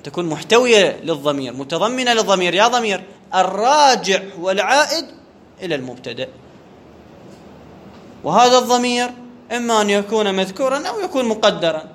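High-voiced man teaching grammar. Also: sing-song, a little fast, pauses often, a bit bright. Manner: asks rhetorical questions and answers them himself, repeats key terms. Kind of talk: classroom lecture on Arabic grammar.

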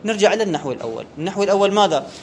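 A man with a high voice gives a grammar lesson. He keeps asking the class questions, answers them himself and repeats his key terms. A faint hiss runs under the recording. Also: expressive, rapid-fire, a little mellow. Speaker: grammar teacher. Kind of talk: classroom lecture on Arabic grammar.

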